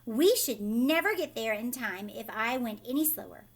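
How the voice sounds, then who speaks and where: An exuberant candy-factory boss from a children's storybook, acted out by a woman reader. The voice is high and swoops about, brisk and teasing, stressing words with insistent, triumphant glee. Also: animated. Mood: brisk, curt.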